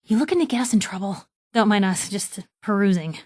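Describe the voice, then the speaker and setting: A woman's voice: mid-range voice, lively intonation, fairly quick, darkish tone, dry recording, clean studio sound, lightly acted. An actress playing a plucky young woman newspaper reporter in a video game, with a wry, teasing, conversational way of talking. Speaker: companion character voice actor.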